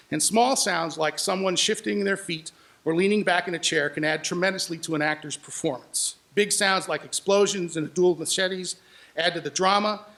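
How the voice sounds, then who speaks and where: High-pitched, creaky-voiced man giving a presentation. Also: fairly bright tone. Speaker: sound editor presenting. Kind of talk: public presentation with anecdotes.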